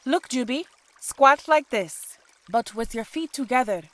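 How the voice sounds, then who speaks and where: A woman's voice: high voice, expressive, a little slow, a bit bright, pitched above the performer's own voice. A woman voicing a young woman dancer in an audiobook, a playful, determined elder sister with an emphatic way of talking. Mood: encouraging. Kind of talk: audiobook character dialogue.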